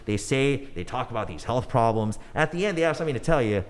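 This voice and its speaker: A man's voice: medium pitch, expressive, quick pace. A man in his forties, a native English speaker, talking casually as a podcast guest with many restarts and fillers. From United States. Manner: rambling, frequent restarts and fillers. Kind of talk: casual conversational interview.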